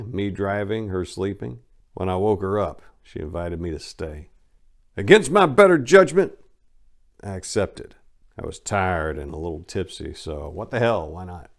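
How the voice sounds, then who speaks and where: A man narrates a first-person story as a dramatic audiobook reading. His voice sits on the low side. The delivery is informal and conversational, in the manner of someone recounting his own tale.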